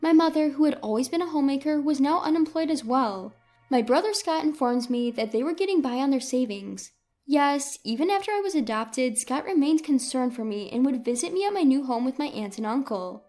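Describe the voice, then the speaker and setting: A woman's voice: high-pitched, expressive, a little fast, mellow, soft background music. A woman reading a scripted first-person story as a dramatic narrator.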